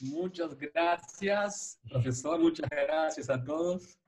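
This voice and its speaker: A man's voice: on the high side, slow, a little mellow. A man with a Spanish accent in Portuguese, giving informal, reflective closing remarks and searching for his words. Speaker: filmmaker, guest speaker. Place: video call.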